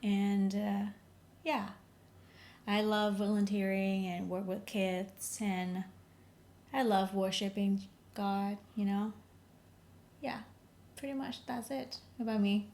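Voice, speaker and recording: A woman's voice: mid-range voice, level tone, takes it slowly, long pauses. A woman from Vietnam, co-hosting a podcast with her husband in casual, phrasing. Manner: warm, non-native phrasing, frequent laughs. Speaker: podcast co-host. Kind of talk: casual chat.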